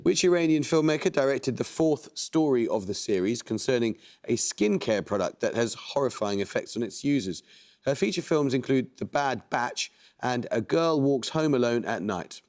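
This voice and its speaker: A man hosting a quiz show, reading questions and introducing teams in a measured, precise way. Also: medium pitch, expressive, darkish tone. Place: TV studio.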